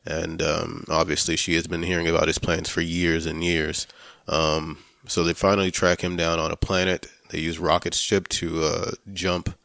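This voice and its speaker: A man hosting a casual film podcast, talking in a halting way, searching for words and swearing freely. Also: deep voice, little pitch movement, vocal fry, a bit bright, no room sound, clean studio sound. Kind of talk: casual movie review chat.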